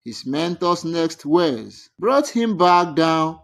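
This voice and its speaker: A storyteller narrates a scripted tale at an unhurried pace. The delivery is animated, with the pitch rising and falling freely across each sentence.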